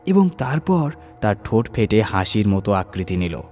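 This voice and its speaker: A man's voice: fairly low pitch, very animated, normal speed, a little mellow, soft background music. A man reading a scripted horror story as its narrator, in a dramatic storytelling style.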